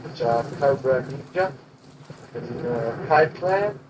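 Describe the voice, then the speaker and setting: A man's voice: mid-range voice, expressive, takes it slowly. Chatty guy on a noisy old tape. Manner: prodding, asks follow-up questions. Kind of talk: casual interview chat. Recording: old archival tape with noise.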